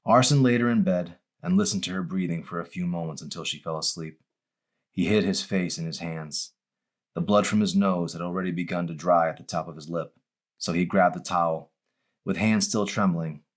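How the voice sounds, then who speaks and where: A man reading his own novel aloud as a dramatic audiobook narration. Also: sits low.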